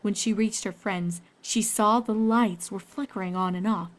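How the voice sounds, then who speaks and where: A woman's voice: medium pitch, steady tone, moderate pace. A woman storyteller reading scripted fiction aloud, with ambient noise behind her.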